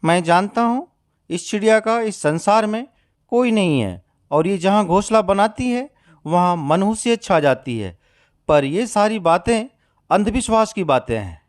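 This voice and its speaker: A man's voice: high voice, lively intonation, measured pace, no echo, studio quality. A man voicing a kindly, protective male crow in a cartoon, speaking in an earnest, brotherly manner. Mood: indignant. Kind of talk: Hindi moral-story cartoon acting.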